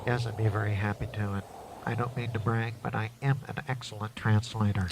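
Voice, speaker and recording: A man's voice: fairly low pitch, steady tone, a little fast, gravelly. A man playing a meek, apologetic, eager-to-please scholar in a Western radio drama, polite and nervously stammering. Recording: noisy, hissy 1980s amateur tape.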